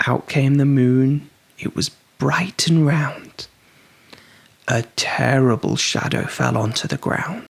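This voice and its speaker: A narrator reading a children's story aloud expressively, with dramatic, hushed suspense. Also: mid-range voice, takes it slowly, vocal fry.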